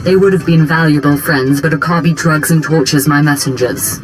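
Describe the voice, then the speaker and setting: A woman playing a smooth, ambitious imperial diplomatic operative in a video game, speaking in formal, polished, deferential phrases. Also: sits low, moderate pace, soft background music, lightly acted, low-quality recording. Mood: stern, vengeful. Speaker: imperial special operations chief. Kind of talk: dramatic video game dialogue.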